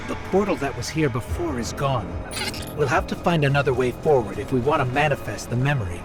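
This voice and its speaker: Steady, earnest robot companion played by a man, concerned explainer, mid pitch, game cutscene under loud music. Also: brisk, close to an everyday voice. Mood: focused, concerned. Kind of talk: video game cutscene acting.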